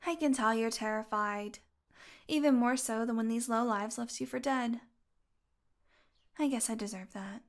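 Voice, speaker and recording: Woman playing a high-voiced, obsessively devoted fantasy healer. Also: moderate pace, long pauses, natural voice. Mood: wistful confession. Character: possessive female fantasy healer, secretly bloodthirsty, tenderly devoted. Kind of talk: intimate audio roleplay monologue.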